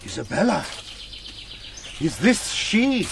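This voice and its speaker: A proud knight in a gothic radio play. He declaims very slowly in a high, raised voice, imperious and archaic in his phrasing. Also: huge pitch swings, creaky, a bit bright, a little hiss or hum, heavily acted. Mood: solemn, searching. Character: proud, imperious male knight, quick to anger, solemn. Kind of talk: radio drama acting.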